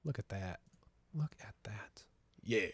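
A man's voice: lowish voice, takes it slowly, slightly rough, dry recording. Sniffly man casting a video game match. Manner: excited play-by-play, then casual rambling between matches. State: sniffly with a cold. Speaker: esports caster. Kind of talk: game commentary.

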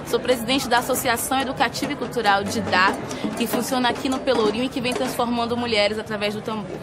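Fast young Bahian woman over loud music. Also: fairly high pitch, steady tone. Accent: Bahian Brazilian Portuguese. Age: 20s. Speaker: association president. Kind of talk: documentary self-introduction.

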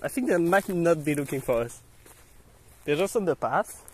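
A man's voice: a little high, expressive, moderate pace, a little hiss or hum. A young man, a native French speaker, chatting casually and laughing often while hiking outdoors, filming on a handheld camera. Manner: giggly, coaxing, laughs often. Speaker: vlogger. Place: outdoors on a dirt hillside path. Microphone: handheld camera filming while walking.

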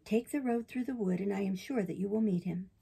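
A woman voicing a kind, motherly fairy in a storybook reading, speaking with calm, tender reassurance. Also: medium pitch, fairly quick, vocal fry, slightly rough.

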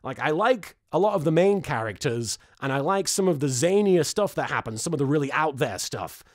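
A man's voice: a little high, expressive, brisk. A British man in his thirties giving a comedic, sarcastic and exasperated recap to camera as the host. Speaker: host, episode reviewer.

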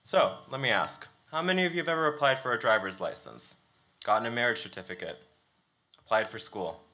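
A man's voice: medium pitch, animated, fairly quick, pushed and bright. A young man giving a thesis presentation from a stage lectern, engaging the audience with questions. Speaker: student presenter. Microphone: lectern mic.

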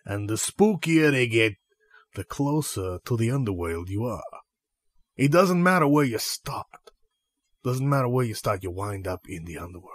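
Man doing a low-voiced, cocksure underworld tough guy. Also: husky, no echo. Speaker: improv performer playing an interview guest. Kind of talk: improvised comedy sketch.

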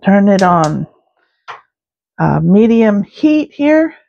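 Slow, easygoing woman cooking at home. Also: medium pitch, creaky, lo-fi sound. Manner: slow, relaxed step-by-step talk with fillers. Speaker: home cook, channel host. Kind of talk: casual cooking narration. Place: home kitchen, indoors.

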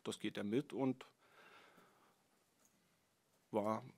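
Slow, calm man answering press. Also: fairly low pitch. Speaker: club president. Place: press conference room.

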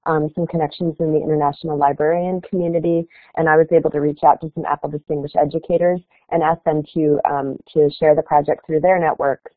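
A woman's voice: low voice, flat delivery, quick pace, no echo. Woman presenting over a tinny call line. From Chicago area, United States. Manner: earnest, explanatory, with fillers. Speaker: keynote speaker. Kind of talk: webinar presentation. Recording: tinny phone-like online call audio.

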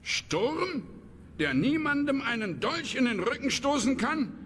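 A man's voice: extremely high pitch, sing-song, unhurried, a bit bright, a little hiss or hum, exaggerated voice. A man playing a stubborn, vengeful old dwarf warrior who grumbles indignantly. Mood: grumbling, bitter.

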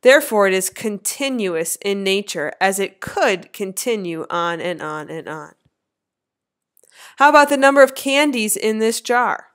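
A woman in her thirties narrating a slideshow lecture as an instructor, with clear, lively teacherly emphasis. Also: mid-range voice, unhurried. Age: 30s.

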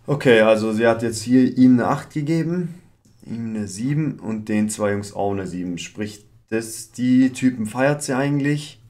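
A man's voice: medium pitch, moderate pace, darkish tone. A young man with a German accent giving casual live reaction commentary as a streamer. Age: young adult. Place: small room at home. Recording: music behind the voice.

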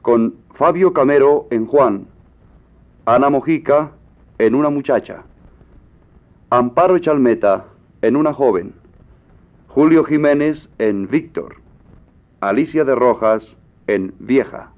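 A man's voice: medium pitch, lively intonation, slow, long pauses. A man making a formal scripted announcement as an old-time radio announcer. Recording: tinny old radio archive recording.

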